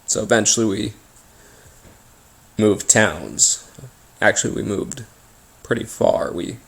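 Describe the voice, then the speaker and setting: Slow, gravelly American man telling spooky stories. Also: fairly low pitch, expressive. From Appalachian region of the United States. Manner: halting, pausing between short phrases. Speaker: host and narrator of a paranormal story series. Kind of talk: informal first-person spooky storytelling.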